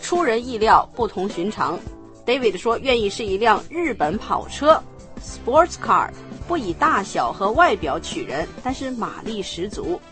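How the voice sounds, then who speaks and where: A woman narrating and explaining a scripted language lesson in a clear, explanatory manner. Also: medium pitch, very animated, a little slow, fairly bright tone, soft background music.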